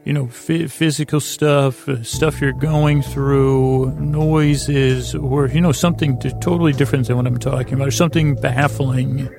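A man hosting a bedtime podcast in a tired, creaky voice, meandering gently through a hesitant, self-deprecating monologue meant to soothe. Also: mid-range voice, fairly even intonation, normal speed, few pauses, music in the background. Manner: gentle, soothing, aimed at putting listeners to sleep.

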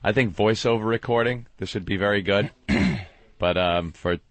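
A man's voice: on the low side, moderate pace, fairly bright tone, no echo. A man co-hosting a radio show, making dry remarks in casual banter. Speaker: radio co-host.

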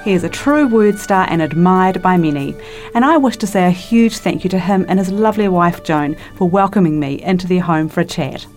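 A woman's voice: lowish voice, a little fast, hardly any pauses, darkish tone, faint music behind. A woman hosting an author interview, chatty and effusive, trailing off and leaning on tag questions. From New Zealand. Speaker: podcast host and interviewer. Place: home interview.

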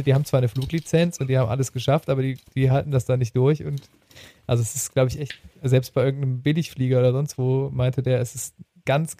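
A man's voice: medium pitch, warm tone, no room sound. A man co-hosting a podcast, telling anecdotes in an informal, rambling conversational way. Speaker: podcast co-host.

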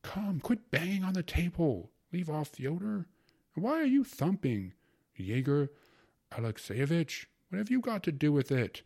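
A man voicing an anxious, scolding middle-aged mother in a spoken story, with a much higher, louder pitch than his own. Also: sing-song, a little slow, vocal fry, breathy edge, heavily acted. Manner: sharp, exasperated questioning.

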